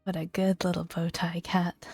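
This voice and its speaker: A woman speaking softly and gently in a breathy near-whisper, narrating a video game as ASMR. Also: on the low side, level tone, moderate pace, no echo. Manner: gentle, hushed delivery. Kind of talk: soft-spoken ASMR video game commentary. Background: soft background music.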